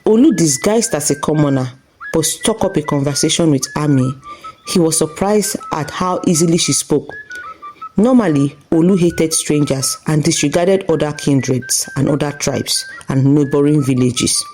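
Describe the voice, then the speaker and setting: A woman's voice: low-pitched, animated, normal speed, a little mellow, slight background noise, low-quality recording. A woman storyteller reading a scripted folktale narration.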